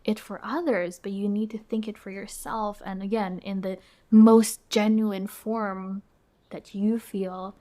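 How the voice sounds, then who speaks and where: A woman's voice: mid-range voice, little pitch movement, a little slow, warm tone. A young woman from Indonesia, whose first language is Indonesian, speaking English as a podcast guest in a thoughtful, conversational way with frequent fillers and restarts. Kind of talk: reflective conversational interview.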